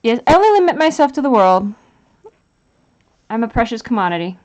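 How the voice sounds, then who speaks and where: A woman's voice: fairly high pitch, sing-song, fairly quick, a little mellow. A woman hosting a live stream, chatting casually and reading out and answering the chat. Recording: dull lo-fi sound.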